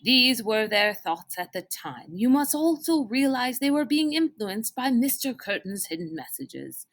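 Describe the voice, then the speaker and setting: A woman storyteller plays an older gentleman mentor from a children's storybook, kindly and formal. The phrasing is deliberate and old-fashioned, with a lively, varied melody. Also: very high-pitched, exaggerated voice. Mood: earnest explaining.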